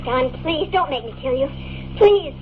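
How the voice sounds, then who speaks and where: An earnest, desperate woman in a vintage radio melodrama. Her voice is high and urgent. The recording is dull and noisy, like an old broadcast. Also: expressive, measured pace. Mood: pleading. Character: desperate secretive woman torn between love and duty. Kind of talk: 1950s radio drama acting.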